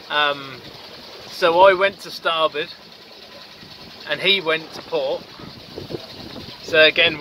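A man's voice: on the high side, sharp tone, low-quality recording. A man in his thirties on the deck of a small sailboat, talking to his camera in a matter-of-fact way with some ums, and tired. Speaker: solo sailor vlogger. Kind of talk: casual video diary. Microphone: camera mic at arm's length. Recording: windy outdoor camera audio at sea. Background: wind and water noise.

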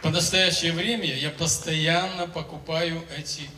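A man in his seventies, a singer, telling his story on a concert stage into a handheld microphone, in a measured, declamatory way. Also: a little high, fairly even intonation, bright, forceful tone, some chatter behind, a little hiss or hum. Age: 70s. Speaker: singer giving a testimonial on stage. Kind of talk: stage speech to an audience. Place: large concert hall stage. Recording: roomy hall sound from a distance.

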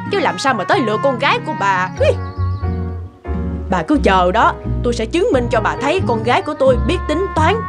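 Woman voicing a boastful, higher-pitched village mother. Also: lightly acted. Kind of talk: animated folk tale dubbing.

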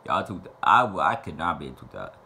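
A man's voice: very deep voice, very animated, brisk, vocal fry, pushed and bright. A young man in his twenties from Little Rock, Arkansas, rambling profanely and playfully while answering fan questions to camera in a small bedroom. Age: 20s. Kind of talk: casual Q&A monologue to camera.

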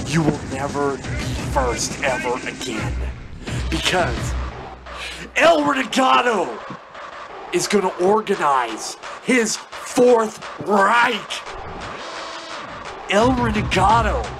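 A man cuts a theatrical wrestling promo straight into a close webcam, lurching from shouted taunts down to low mutters. His voice sits a little high and he drags his words out slowly. Music plays clearly behind him in an indoor room. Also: expressive. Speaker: hobby wrestler in character. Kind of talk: scripted wrestling promo, trash talk. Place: indoor room with window blinds. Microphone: webcam close to face.

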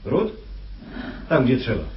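An actor playing a scheming, condescending kidnapper in a radio play, with a rough, husky voice and sardonic, urbane delivery. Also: male voice, medium pitch, huge pitch swings, slow pace, a little hiss or hum. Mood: impatient, scheming. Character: scheming, condescending male kidnapper posing as employer. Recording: muffled old radio play recording.

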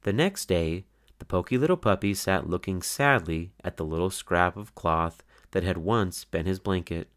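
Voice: sits low, lively intonation, normal speed, hardly any pauses. A narrator reading a children's picture book aloud in a scripted storytelling style.

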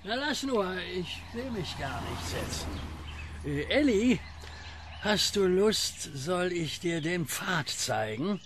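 An actor playing a friendly, knowing old country neighbour in a radio play, gravelly and colloquial. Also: male voice, sits high, big pitch swings, takes it slowly, a bit bright, slight background noise, exaggerated voice. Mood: friendly, instructive. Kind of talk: German radio play acting.

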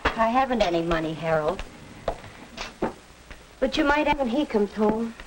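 An actress playing an earnest, patient middle-aged American mother in a 1940s educational film, speaking in a measured way. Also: on the high side, expressive. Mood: weary, apologetic. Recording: hissy, noisy 1940s film soundtrack.

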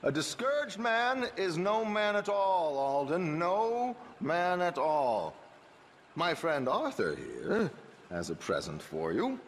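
An actor playing a dapper middle-aged con artist in a western video game, genteel and theatrical, with flowery phrasing. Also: male voice, high voice, big pitch swings, takes it slowly, a little hiss or hum, full character voice. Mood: smooth, ingratiating.

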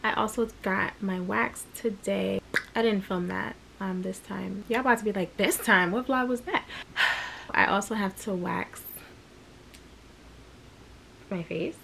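A young woman chats straight into a close camera in her small bedroom, loose and candid, trailing off into fillers. Her mid-pitched voice carries a gravelly fry at the ends of lines. A faint hiss of room noise sits underneath. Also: measured pace, long pauses, a bit bright, rough recording. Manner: chatty, candid, rambling with fillers. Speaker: vlogger. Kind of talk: casual vlog talk to camera. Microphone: camera close at arm's length.

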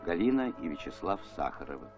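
Low-voiced male narrator, dull vintage film sound. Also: big pitch swings, fast. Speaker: documentary narrator. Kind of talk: scripted documentary narration. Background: music.

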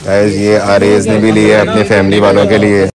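A man's voice: on the low side, monotone, a little fast. Chatty man vlogging in a market. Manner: chatty, addresses viewers directly. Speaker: vlogger host. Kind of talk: casual travel vlog narration. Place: busy night bazaar outdoors. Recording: crowd noise of a busy street market.

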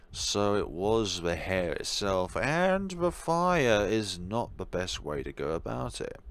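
A man reading a horror game aloud and commenting on it in a theatrical way, given to sudden loud outbursts. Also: sits low. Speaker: let's player. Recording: background music behind the voice. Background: game music.

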